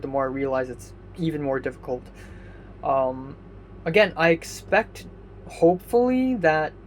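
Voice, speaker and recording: A young man rambling through a casual video diary with many ums and restarts, his voice slightly raspy. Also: medium pitch, a little hiss or hum. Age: young adult. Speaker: vlogger.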